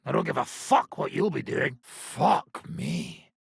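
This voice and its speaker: An actor playing a gruff, foul-mouthed old robber baron in a video game, with a rough, raspy voice and a blunt, sardonic manner. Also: male voice, mid-range voice, wildly swooping pitch, takes it slowly, no echo, studio quality, full character voice. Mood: gruff, matter-of-fact. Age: older. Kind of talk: video game character dialogue.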